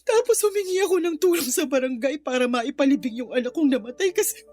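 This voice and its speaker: A woman's voice: high voice, expressive, quick pace, a little mellow. An actress playing an ashamed, grieving mother in a radio drama, tearfully confessing and blaming herself as she cries. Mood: grieving, guilty. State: crying. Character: remorseful impoverished mother, a runaway aunt, ashamed and grieving. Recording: music bed under the voice.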